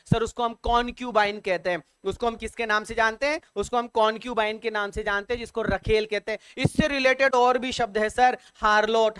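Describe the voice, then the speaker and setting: A man teaching an online exam-prep English vocabulary lesson in Hindi. He repeats points over and over, spells words out letter by letter, and reads the English prompts slowly and deliberately. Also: very high-pitched, a bit bright, smooth, no echo. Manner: addresses viewers as sir and dear children.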